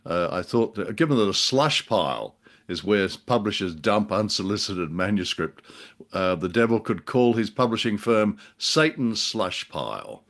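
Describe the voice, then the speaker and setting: Droll, low-voiced man in casual chat. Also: sing-song, moderate pace, fairly bright tone. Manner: droll, hesitant, self-deprecating. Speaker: podcast co-host and writer.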